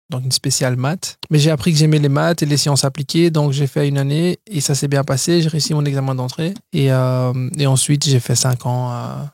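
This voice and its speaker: Gravelly Brazilian-born man in his thirties. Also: medium pitch, darkish tone, no room sound, clean studio sound. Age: 30s. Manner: storytelling with frequent fillers and restarts. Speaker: guest entrepreneur. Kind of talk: casual podcast interview.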